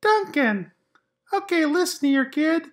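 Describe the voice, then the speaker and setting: A high, sassy pink crayon from a picture book, acted out by a man. It scolds in an indignant, demanding way, leaning hard on its words with slow, drawn-out delivery. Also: expressive, full character voice. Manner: scolding, emphatic. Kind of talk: children's picture book read-aloud.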